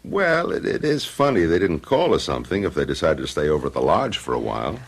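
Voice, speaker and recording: An actor playing an easygoing, skeptical husband in a radio drama, dismissive yet placating in a folksy way. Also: male voice, rumbling low, big pitch swings, fast talker, exaggerated voice. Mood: casual, teasing. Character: easygoing, skeptical husband, gruffly soothing his worried wife.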